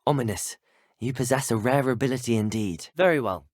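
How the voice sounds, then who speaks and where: A man's voice: medium pitch, fairly quick, no room sound, studio quality, natural voice. A young man with a British accent voicing a courteous, earnest teenage wizard in a video game, well-spoken and formal. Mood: courteous, sincere. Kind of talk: scripted video game dialogue.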